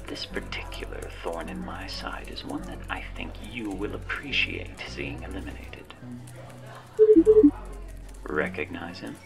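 A man playing a sly, menacing, all-knowing cyberpunk handler in an ASMR roleplay, with a whispery, gravelly voice and a sardonic, teasing manner. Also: low voice, heavily acted. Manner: slow-burning, sardonic, teasing.

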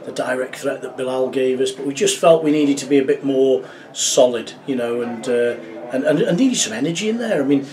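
A middle-aged man, a football manager, giving a measured, reflective post-match interview in a small club office, with many fillers and restarts. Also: medium pitch, a little mellow, far from the mic. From England.

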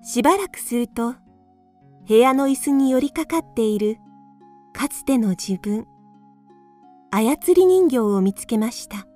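A woman's voice: a little high, expressive, a little slow, long pauses, mellow, faint music behind. A woman narrator reading scripted storybook narration for a radio drama.

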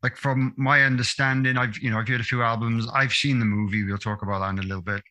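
A man's voice: medium pitch, steady tone, sharp tone. A man in his thirties hosting a podcast over a video call, chatty and upbeat. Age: 30s. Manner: upbeat, chatty, quick laughs. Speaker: podcast host. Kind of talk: friendly podcast interview. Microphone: webcam video call.

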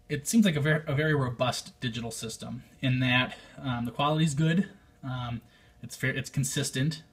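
American male hobbyist vlogger, mid-pitched and husky, casual unscripted review, thinks aloud. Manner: rambling, thinking aloud, hedging opinions. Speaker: amateur radio hobbyist, vlogger.

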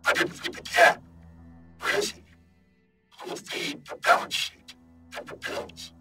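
A performer voicing a lost, disembodied human mind, whispering in broken fragments with sudden loud outbursts, panicked and confused. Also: measured pace, faint music behind. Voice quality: mostly whispered, breathy. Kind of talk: horror audio reading.

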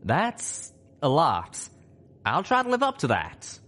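A folksy male pegasus from a storybook, acted by a man. He is plainspoken and loyal, his pitch lifted a little. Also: huge pitch swings, slow, fairly bright tone, exaggerated voice. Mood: overwhelmed.

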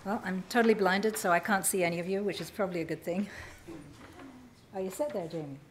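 A woman's voice: mid-range voice, quick pace. An older woman from England with a slightly mid-Atlantic English accent, reading a wry personal story on a small cabaret stage at a stand mic. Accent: English, mid-Atlantic tinge. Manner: wry, acts out voices in quotes. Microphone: vintage stand mic.

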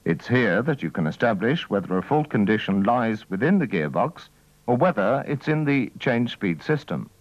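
Male narrator for vintage technical instruction film: methodical, formal, animated pitch movement, dull lo-fi sound. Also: moderate pace, no echo. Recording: dull, dry old training-film soundtrack.

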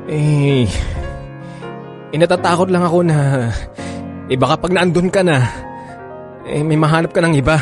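A man's voice: a little high, moderate pace, pauses often, music in the background, close to an everyday voice. A man playing a possessive, reluctant lover in a radio drama, hesitant and sulky. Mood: reluctant, anxious.

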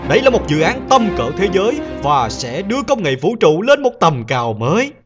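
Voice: medium pitch, very animated, normal speed, natural voice. An actor playing an eager cartoon news announcer, reading scripted narration. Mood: upbeat. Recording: music under the voice.

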